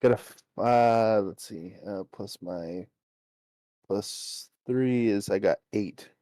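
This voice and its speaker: A man's voice: fairly low pitch, slow pace, dark tone, dry recording. A man playing a tabletop role-playing game, talking casually and hesitantly as he searches for words.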